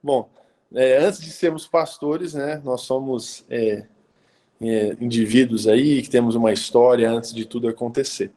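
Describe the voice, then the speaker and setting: A man, talking as a pastor on a live stream. His pitch sits in an ordinary middle range for a man. He keeps it relaxed and conversational, leaning on hesitations and tag questions. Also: a little slow. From Brazil, interior of São Paulo state. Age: 30s. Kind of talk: casual live-stream talk.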